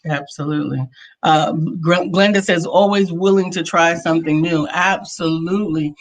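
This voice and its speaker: A woman's voice: on the low side, fairly even intonation, moderate pace. An American woman in her fifties co-hosts a talk show. Her delivery is casual and conversational, as a coach talking with her partner and audience. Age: 50s.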